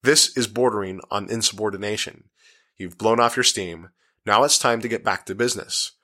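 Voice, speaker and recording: A man's voice: lowish voice, normal speed, pushed and bright. A man voicing a stern, guarded commander of covert agents in an audiobook, firm and curt. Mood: snapping, stern.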